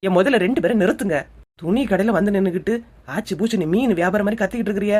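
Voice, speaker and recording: A woman voices a practical, bold young cartoon character who talks very quickly. She is brisk and persuasive, speaking in a Kanyakumari Tamil accent. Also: mid-range voice, a little mellow, breathy edge, natural voice. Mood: exasperated. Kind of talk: cartoon comedy dialogue.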